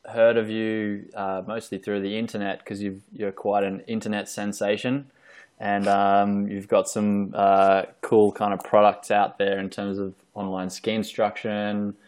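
Low, flat-voiced man hosting a podcast. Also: measured pace. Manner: hesitant, filler-heavy questions. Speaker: podcast host. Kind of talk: casual interview. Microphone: online call recording.

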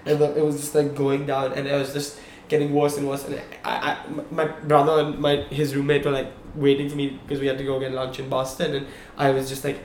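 Young man, animated storyteller, echoey room, faint hum. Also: mid-range voice, a little fast, few pauses. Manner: animated storyteller, checks in with "okay? right?". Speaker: podcast co-host. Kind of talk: casual storytelling chat.

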